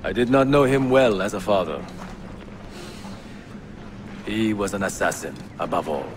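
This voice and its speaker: A man's voice: on the low side, noisy background, natural voice. A man voicing a stern young assassin. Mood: resolute. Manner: calm, measured, commanding. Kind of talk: video game cutscene acting. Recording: game score under the dialogue.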